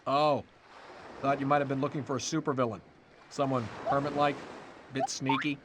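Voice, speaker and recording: A man's voice: mid-range voice, fairly even intonation, moderate pace, natural voice. A man voicing an obliging but sly ice-cream vendor in a cartoon game, with a clipped, knowing delivery. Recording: clearly noisy background.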